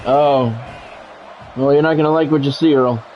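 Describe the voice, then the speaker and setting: Higher-voiced man calling a football video game. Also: steady tone, moderate pace, highs cut off, faint music behind. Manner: blunt, salty swearing, talking at the players; repeats urgent short phrases. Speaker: gaming streamer doing play-by-play.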